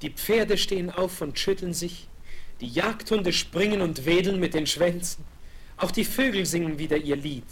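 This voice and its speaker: An actor playing a tender young prince in a fairy-tale radio play, earnest and romantic. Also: male voice, high voice, normal speed, lightly acted. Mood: wondering, joyful.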